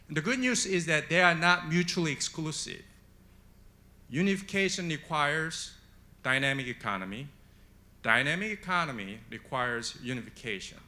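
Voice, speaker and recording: A man's voice: on the high side, sharp tone. A man from Korea, speaking at a conference panel in an emphatic way, with rhetorical questions. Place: conference hall on stage.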